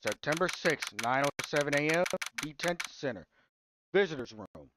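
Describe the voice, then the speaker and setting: A man's voice: a little high, expressive, moderate pace, very noisy, natural voice. A man voicing an earnest, considerate young male lawyer in a careful manner, as in a video game. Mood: weary, earnest. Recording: music behind the voice.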